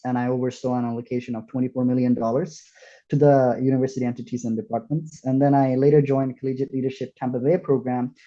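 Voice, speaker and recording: A young man from Bangladesh introduces himself earnestly on a podcast. His voice is very dark and mellow, and the audio sounds a little dull. He fills his pauses with "uh" and "um.". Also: medium pitch. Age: young adult. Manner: earnest, with frequent "uh" and "um" fillers. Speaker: guest, student CEO. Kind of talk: self-introduction in an interview.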